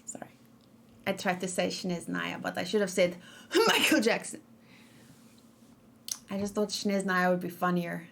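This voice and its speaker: A woman's voice: fairly low pitch, normal speed, vocal fry, pauses often, fairly bright tone, slight background noise. A young woman streaming and reacting live, chatting casually, reading viewer comments aloud and rambling with frequent fillers. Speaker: streamer reacting to game character.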